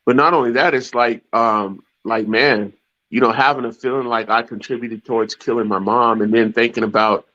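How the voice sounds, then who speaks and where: A mid-pitched man chimes in on a casual talk, feeling his way through his points with plenty of fillers. His voice comes through slightly dull and muffled. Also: fairly even intonation, moderate pace, sharp tone. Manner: hesitant, many fillers. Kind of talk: casual chat.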